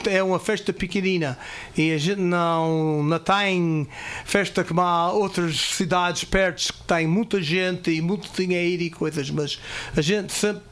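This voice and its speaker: An American man with a somewhat high voice, a native English speaker of Portuguese descent, gives a radio studio interview in unsteady Portuguese. He halts, searches for words and slips into English now and then. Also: a bit bright. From California, third-generation Portuguese-American. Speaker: festival committee guest.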